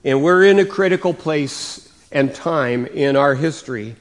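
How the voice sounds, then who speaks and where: A male pastor preaching a sermon in a conversational way, his voice slightly raspy from coughing, rising and getting louder to stress points. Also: a little high, a little slow. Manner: conversational, with many fillers and restarts; rises and gets louder for emphasis.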